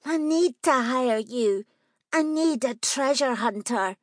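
A stubborn nine-year-old girl, nervous underneath but bossy and matter-of-fact, as a woman reads her aloud. The voice sits high and comes across dry and close. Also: lively intonation, slow, dry recording. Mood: insistent.

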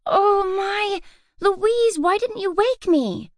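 A sulky young girl with a sharp, impatient tongue, as a woman reader puts her on. Her raised voice is lively and changeable. The recording is close and dry. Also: a little high, normal speed, no echo, pitched above the performer's own voice, close to an everyday voice. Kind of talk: audiobook character reading.

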